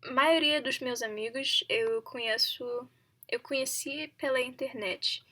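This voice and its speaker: Slow, hesitant young woman practicing languages. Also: high voice, pushed and bright, a little hiss or hum. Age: 20s. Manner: hesitant, halting, laughs often; self-correcting, searching for words. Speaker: language learner vlogger. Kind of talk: casual practice monologue to camera.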